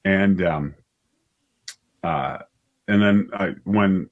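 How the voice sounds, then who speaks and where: Low-voiced man, halting storyteller. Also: narrow, tinny audio. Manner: halting, with repeated words and self-corrections. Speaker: writer and director, interview guest. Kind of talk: conversational interview storytelling.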